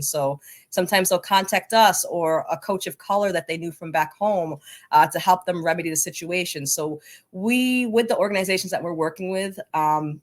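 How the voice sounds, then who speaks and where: A woman speaking as an interview guest, explaining things earnestly and conversationally. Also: on the low side. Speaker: interview guest from a coaches association.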